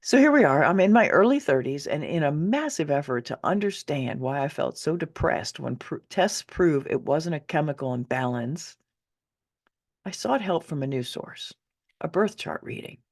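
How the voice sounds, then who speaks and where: A middle-aged woman narrates her own story as if talking straight to the listener. Her voice has a gravelly creak and swings widely in pitch. She is candid and wry, leaning into key words for dramatic effect. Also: expressive, normal speed. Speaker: author reading own memoir. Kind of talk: conversational audiobook narration.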